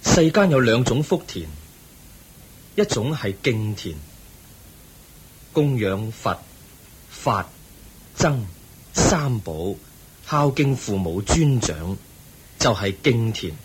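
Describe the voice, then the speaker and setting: An actor playing the Buddha, a calm and compassionate teacher who speaks gently and patiently, in a radio play. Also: male voice, mid-range voice, husky, heavily acted, low-quality recording. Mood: serene. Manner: gentle, patient, measured preaching. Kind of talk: Buddhist radio drama acting.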